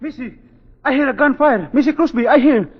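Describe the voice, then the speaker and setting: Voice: sits high, fairly quick, over loud music, close to an everyday voice. A performer in a 1940s radio play voicing an alarmed, deferential Malay house servant who speaks broken English. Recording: noisy muffled 1940s radio recording.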